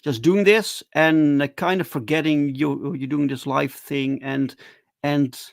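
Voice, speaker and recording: Brief: host, gravelly slightly raised voice, mild stutter, dry close sound. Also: male voice, fairly high pitch, steady tone, a little slow, no room sound. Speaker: podcast host. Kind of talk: casual interview chat.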